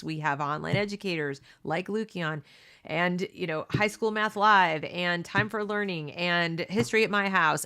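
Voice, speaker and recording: A woman's voice: lowish voice, fairly bright tone. Earnest woman reading aloud with asides. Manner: reads passages then breaks off into emphatic asides; earnest, opinionated, keeps checking in with "okay?" and "you know". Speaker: homeschooling parent reading a book aloud. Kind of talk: read-along with conversational commentary.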